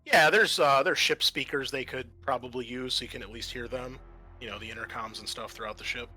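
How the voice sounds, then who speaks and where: A man's voice: mid-range voice, brisk, sharp tone, soft background music. A man running a tabletop role-playing game as game master, talking casually and swearing freely.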